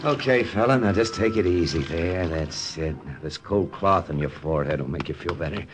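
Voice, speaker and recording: A man's voice: sits low, normal speed, over music, natural voice. An actor playing a protective American soldier looking after his buddy, speaking colloquially and urgently in an old radio drama. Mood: soothing, firm. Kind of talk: 1950s radio drama acting. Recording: noisy old radio transcription.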